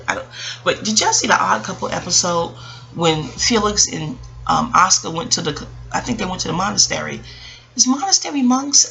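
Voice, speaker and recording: American lady just chatting to her viewers, bright gravelly voice, wanders off on tangents. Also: on the low side, poor audio. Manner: rambling and digressive, addressing listeners directly. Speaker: vlogger. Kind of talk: casual reflective storytelling monologue.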